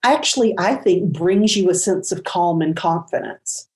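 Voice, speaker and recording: A woman's voice: on the low side, animated, normal speed, darkish tone. A woman guest on a podcast, speaking earnestly and encouragingly in casual interview chat.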